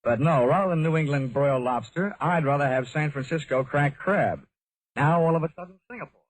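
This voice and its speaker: A man's voice: a little high, brisk, slight background noise, lightly acted, low-quality recording. An American man playing a wisecracking insurance investigator with a wry delivery in an old radio detective drama. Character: wisecracking male freelance insurance investigator, dry and brisk. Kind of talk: 1940s American radio detective acting, first-person narration. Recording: vintage radio broadcast recording.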